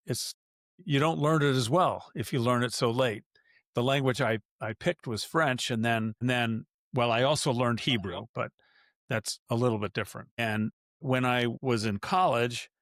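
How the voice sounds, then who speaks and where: A man's voice: medium pitch, no room sound, clean studio sound. A man hosting a podcast interview, talking in a loose, rambling way with frequent restarts. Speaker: podcast host.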